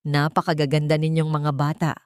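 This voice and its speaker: A woman voicing an elegant, possessive aunt in an audio drama, honeyed and gushing with false warmth. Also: low-pitched, monotone, a little fast, clean tone, dry recording, clean studio sound, lightly acted. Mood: gushing, falsely sweet. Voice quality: honeyed, sweet. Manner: gushing, overly affectionate.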